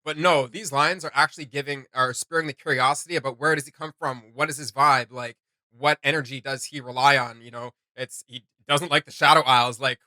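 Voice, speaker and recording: A man with a bright, forceful voice at an ordinary male pitch, recorded close and dry. He chats casually as a reaction host, enthusiastic and full of slang. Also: fairly even intonation, brisk, dry recording. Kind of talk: casual off-the-cuff reaction commentary.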